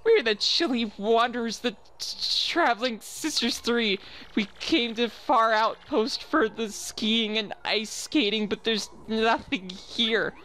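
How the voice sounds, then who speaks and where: A man's voice: very high-pitched, takes it slowly, pushed and bright, a little hiss or hum, voice pushed up, full character voice, rough recording. A man voicing a trio of shivering female bomb creatures, speaking with a Russian accent and complaining through chattering teeth. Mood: whiny cold.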